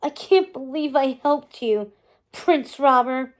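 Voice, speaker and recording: A woman's voice: sits high, takes it slowly. A woman voicing a hurt young stepsister in a storybook, plaintive and wavering. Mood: hurt, tearful.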